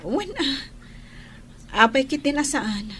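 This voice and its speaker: Gentle high-voiced young mother. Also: lively intonation, slow, slight background noise, full character voice. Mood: gentle, reserved. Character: gentle young mother, hurt yet soft-hearted. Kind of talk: Ilocano radio drama acting.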